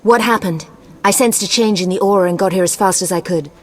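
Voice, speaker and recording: An actress playing an imperious, scheming sorceress in a video game, speaking in a formal, cutting manner. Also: female voice, medium pitch, brisk, lightly acted. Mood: demanding, cold.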